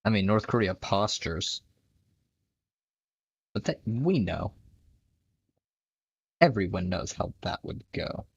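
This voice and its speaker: A man's voice: lowish voice, animated, slow pace, creaky, long pauses, husky, dry recording. A man casually commenting on a clip he is watching, blunt and dismissive, laughing at it, recorded on a dull lo-fi mic.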